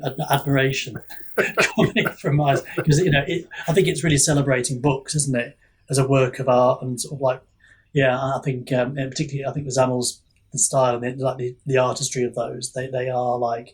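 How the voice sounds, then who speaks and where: Man, slightly husky, thoughtful. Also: mid-range voice. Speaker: indie author on a podcast. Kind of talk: casual podcast chat.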